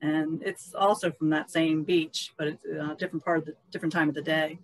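A woman's voice: deep voice, flat delivery, fairly quick, low-quality recording. A middle-aged woman painter casually explaining her own work on a video call. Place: indoor studio room on a video call.

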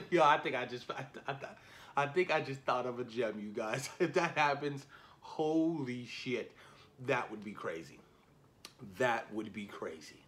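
A man in his thirties chatting casually and excitedly to camera, slangy and repeating himself for emphasis, as a reaction video host. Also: medium pitch, a little slow. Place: small indoor room at home. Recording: noticeable background noise, home camera recording.

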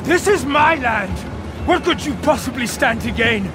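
An actor playing a menacing, grandiose warlord villain in a cinematic action game. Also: male voice, very high-pitched, lively intonation, measured pace, loud music behind the voice. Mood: furious. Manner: grandiose, threatening. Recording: loud dramatic score behind.

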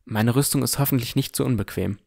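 An actor playing a puzzled but determined young armored swordsman who narrates his fantasy audio drama in a measured, introspective way. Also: mid-range voice, gravelly, darkish tone. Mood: puzzled, resolute. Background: music.

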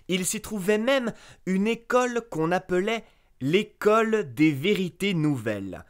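A man reading a fairy tale aloud as a storyteller, with expressive narration that lifts sharply on key words. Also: sits high, a little slow.

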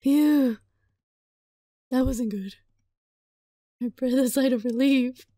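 A woman reading a visual novel aloud as a let's play, dramatic and playful, in a giggly, flustered, excitable manner. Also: sits high, takes it slowly, no echo, studio quality. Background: music.

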